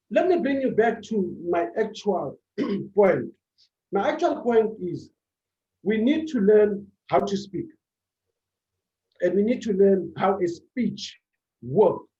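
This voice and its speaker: High-voiced man giving a slow, emphatic speech. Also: warm tone. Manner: short emphatic phrases with repetition. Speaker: public speaking club speaker. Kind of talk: motivational speech. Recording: echoey room, dull sound.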